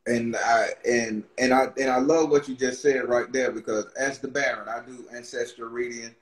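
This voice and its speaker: A man's voice: mid-range voice, normal speed, roomy sound, poor audio. A man in his thirties hosting a casual video-call interview, talking in a rambling way with many restarts. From Barbados. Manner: rambling, repeats words, excited restarts. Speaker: podcast host, tarot reader. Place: room with brick wall backdrop. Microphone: webcam on video call.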